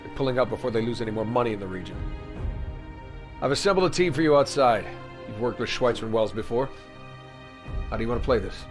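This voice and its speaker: Guy doing cynical army officer in a game, blunt, medium pitch, music behind him. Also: animated, quick pace, long pauses, close to an everyday voice. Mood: cynical, firm. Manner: blunt, dismissive. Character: gruff, cynical male military officer briefing a commander. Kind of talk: video game cutscene acting.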